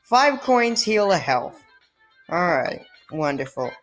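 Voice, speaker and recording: A woman's voice: on the low side, big pitch swings, slow. A woman commentating on a game she is playing, in a casual, exasperated and sarcastic way, often sighing. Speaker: let's play gamer. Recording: faint game music behind.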